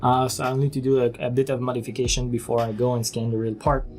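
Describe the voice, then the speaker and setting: A man giving an informal, step-by-step explanatory narration as a software demonstrator. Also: medium pitch, flat delivery, quick pace, soft background music.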